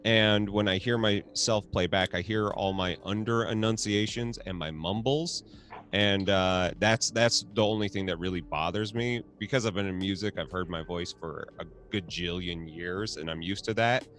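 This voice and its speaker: Laid-back low-voiced man hosting. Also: normal speed, a bit bright. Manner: laid-back, swears casually, laughs often. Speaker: host. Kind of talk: casual chat.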